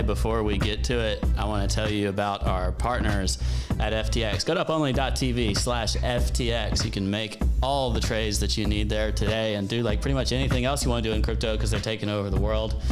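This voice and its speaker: A man with a scratchy voice, hosting a podcast, reading a sponsor message and joking casually with his guests. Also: on the low side. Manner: joking, laughing often. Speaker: podcast host. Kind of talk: casual chat and ad read.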